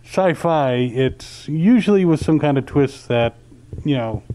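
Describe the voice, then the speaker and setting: A man's voice: on the high side, animated, measured pace, warm tone. A middle-aged man asking casual interview questions into a handheld mic through a face mask. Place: indoor lounge with sofa at a convention. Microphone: handheld interview mic, speaking through a face mask.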